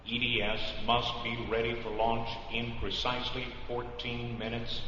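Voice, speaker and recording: A man's voice: mid-range voice, sharp tone. An actor playing a commanding male spaceship announcer in a radio drama, clipped, formal and authoritative over a reverberant public address. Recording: lo-fi, cavernous echo, hissy background.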